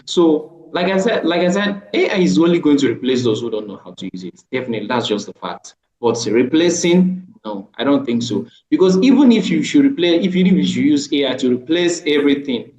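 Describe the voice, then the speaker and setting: A man's voice: on the high side, animated, fairly quick, hardly any pauses, darkish tone. A man in a panel debate, talking emphatically and often adding "right?". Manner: emphatic, with frequent "right?" tags. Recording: dull, echoey room.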